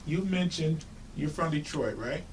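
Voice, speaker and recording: A man's voice: a little high, animated, unhurried, a little mellow. A man hosting an interview with casual questions, recorded at a distance with background noise. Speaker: interview host.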